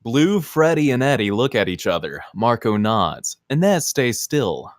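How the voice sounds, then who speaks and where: A male narrator reads screenplay action in a scripted style. His pitch is medium and moves around in a lively way. The recording is dry, with little room sound. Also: a little slow, dry recording. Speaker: narrator reading stage directions.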